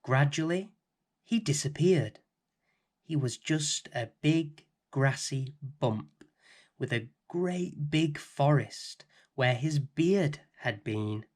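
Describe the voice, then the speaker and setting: A middle-aged man hosting and reading a children's bedtime story in a gentle, expressive way. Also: medium pitch, slow pace. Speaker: storybook reader and host.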